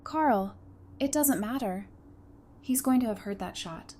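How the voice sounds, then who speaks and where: A woman's voice: fairly high pitch, animated, measured pace, dark tone, a little hiss or hum. Actress as a strong-willed woman survivor. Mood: urgent. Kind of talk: audio drama acting.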